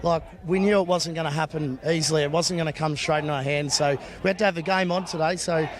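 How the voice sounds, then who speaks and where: A man's voice: a little high, fairly even intonation, fast talker. An Australian man, a bowls skipper, talking casually and upbeat in an outdoor interview. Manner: upbeat, casual, says "look" and "mate". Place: outdoor bowling green.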